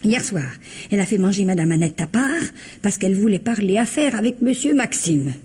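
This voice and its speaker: An actress playing a gossipy, nosy housemaid in a French radio mystery, chatty and theatrically indignant. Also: female voice, medium pitch, expressive, a little fast, warm tone, breathy edge. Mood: indignant gossip.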